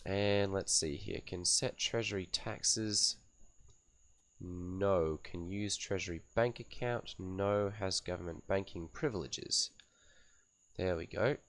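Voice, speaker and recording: A man hosting a gaming channel, raspy and coughy from a cold, casually thinking aloud as he reads out and fills in game settings one by one. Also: deep voice, takes it slowly, no echo. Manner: thinks aloud while reading out menu settings. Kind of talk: casual game setup commentary.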